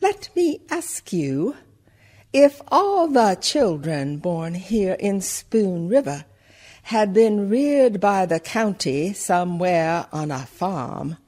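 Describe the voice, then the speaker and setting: A woman's voice: mid-range voice, sing-song, takes it slowly, darkish tone. A woman playing a shrewd, outspoken small-town milliner who addresses the listener pointedly in a radio monologue. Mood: challenging. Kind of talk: theatrical monologue for community radio play.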